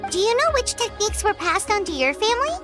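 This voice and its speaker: Childlike game fairy, extremely high, squeaky, animated pitch, chirpy, blunt, curious, music behind. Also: female voice, fast. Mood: inquisitive. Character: tiny childlike fairy companion, perky and frank. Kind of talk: video game voice acting.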